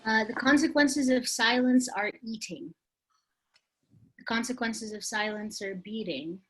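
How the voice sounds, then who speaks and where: A woman reciting her own poem on a video call, steady and rhythmic. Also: fairly high pitch, measured pace, slightly rough, rough recording. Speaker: workshop participant. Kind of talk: reading her poem aloud.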